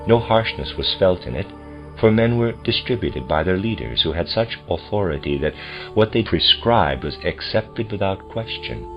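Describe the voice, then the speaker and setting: Male reader for a formal lecture text, low-pitched, deliberate delivery, dull lo-fi sound over music. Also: lively intonation. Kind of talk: audiobook-style reading of a translated lecture. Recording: dull lo-fi recording with music behind.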